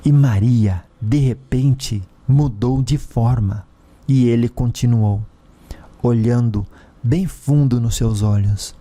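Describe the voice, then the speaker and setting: Slow, mellow Brazilian man narrating. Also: medium pitch. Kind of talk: scripted dramatic story narration.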